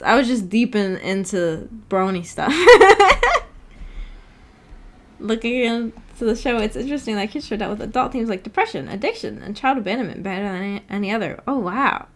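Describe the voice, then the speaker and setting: A young adult woman chatting casually on a livestream, rambling informally and reading viewer comments aloud as she goes. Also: mid-range voice. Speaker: VTuber livestreamer.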